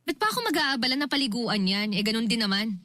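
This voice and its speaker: A woman's voice: a little high, animated, quick pace, sharp tone, no room sound, studio quality. A woman acting a lazy, careless younger sister and young mother in a Filipino radio drama, flippant and whiny in manner. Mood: dismissive.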